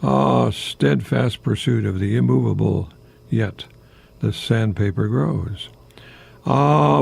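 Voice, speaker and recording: An older man hosting a radio monologue, speaking haltingly in a whimsical, self-deprecating way with a husky, gravelly voice. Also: medium pitch, darkish tone. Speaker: radio host, retired professor. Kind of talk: rambling humorous radio monologue with poetry readings.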